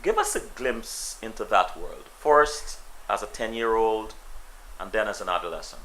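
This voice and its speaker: A man's voice: on the low side, expressive, moderate pace, a bit bright, low-quality recording. A man interviewing a guest, asking questions with a few fillers and restarts. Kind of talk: conversational interview.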